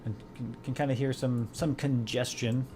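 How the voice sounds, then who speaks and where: A man's voice: mid-range voice, steady tone, normal speed, dark tone, slight background noise. Rambling male podcast host. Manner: rambling, many fillers and restarts. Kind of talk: casual chat.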